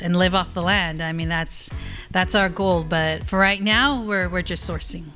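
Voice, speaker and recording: A woman speaks as a guest in a relaxed interview, with a bright, forward voice. She tells her story reflectively, pausing and doubling back on words. Faint music sits behind her. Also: fairly low pitch.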